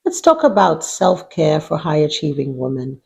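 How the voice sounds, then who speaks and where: A middle-aged woman from Nigeria speaking as an executive coach, giving deliberate, emphatic motivational advice in an instructive way. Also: sits low, sing-song, gravelly, very noisy. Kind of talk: motivational advice talk to camera.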